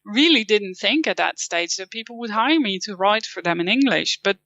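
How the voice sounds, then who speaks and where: Guest interview: woman, Dutch accent, bright and clear, dry close sound, slightly dull lo-fi audio. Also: medium pitch, lively intonation, normal speed, dry recording. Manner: thoughtful, with frequent restarts and light laughs. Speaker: guest, copywriting teacher. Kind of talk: casual interview chat.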